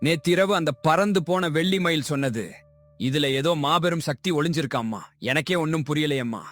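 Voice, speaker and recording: A man playing a kind, poor young village goatherd, earnest and emotional, in an animated moral story. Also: on the high side, a little fast, no room sound, soft background music, close to an everyday voice. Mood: sincere.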